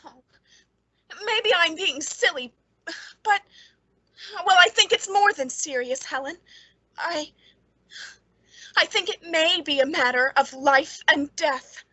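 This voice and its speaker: A woman's voice: high voice, takes it slowly, pauses often, sharp tone, no echo, full character voice. An actress playing a nervous, secretly bitter woman with a hesitant, pleading manner in an old-time radio suspense drama. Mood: frightened.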